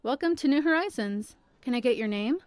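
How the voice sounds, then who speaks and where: An actress playing a polite, cheerful security officer at a front desk in an audio drama. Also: female voice, high-pitched, lively intonation, brisk, smooth, no room sound. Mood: polite, cheery.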